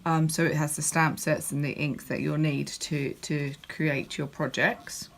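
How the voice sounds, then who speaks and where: A woman with a croaky voice, chatting casually as she walks through a crafting catalogue, with lots of ums and restarts. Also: low-pitched, little pitch movement, a little slow, a little hiss or hum. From United Kingdom. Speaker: crafting demonstrator. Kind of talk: casual product walkthrough.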